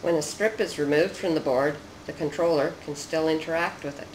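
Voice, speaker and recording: Measured narrator explaining a research demo, roomy echo, bit of noise behind. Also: mid-range voice.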